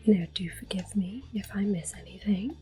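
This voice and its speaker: A woman giving gentle, unhurried ASMR gameplay commentary in a soft, whispered voice, reassuring and close to the microphone. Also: mid-range voice, faint music behind. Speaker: ASMR gaming host.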